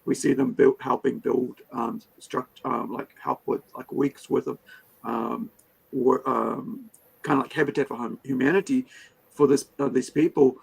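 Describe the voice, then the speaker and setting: A man's voice: medium pitch, fairly even intonation, a little slow, gravelly, a little mellow, breathy edge, low-quality recording. A man whose first language is Hindi, hosting a casual interview, talking in a rambling way full of fillers and restarts. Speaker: podcast host.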